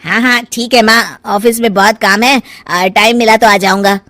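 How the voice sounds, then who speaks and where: A woman's voice: medium pitch, fairly even intonation, moderate pace, fairly bright tone, no echo, lightly acted. A woman voicing a self-centred, jealous grown son in a cartoon story, brusque and insistent. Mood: dismissive. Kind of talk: cartoon family story dialogue.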